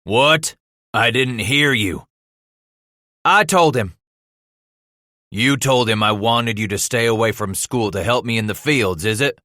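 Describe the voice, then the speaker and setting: A man voicing an angry, domineering frontier father in an audiobook, with gruff, stern delivery and rustic, folksy speech. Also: on the low side, moderate pace, few pauses, fairly bright tone, dry recording, clean studio sound, natural voice. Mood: angry, accusing.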